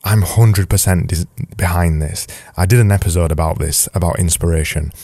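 A man's voice: deep voice, normal speed, warm tone, no echo, studio quality. A man with a Yorkshire accent giving informal solo advice as a podcast host, emphatic and repeating his key points. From Yorkshire, England.

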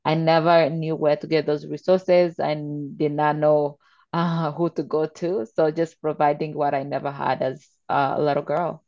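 A woman's voice: sits low, flat delivery, normal speed, darkish tone, no room sound, dull audio. A young woman from Congo, raised in Uganda, earnestly answering interview questions in an informal way. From Democratic Republic of Congo, raised in Uganda. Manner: earnest, informal with fillers like "kinda" and "like". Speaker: guest, nonprofit founder.

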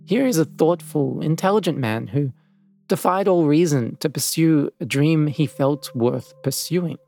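A man hosting and narrating a scripted documentary in a measured, reflective way. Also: on the high side, gravelly, darkish tone, soft background music. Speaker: podcast host and narrator.

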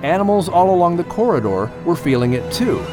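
A man's voice: on the high side, big pitch swings, fairly quick, music in the background. A man as a theatrical, eager announcer and storyteller for a children's audio drama. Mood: suspenseful.

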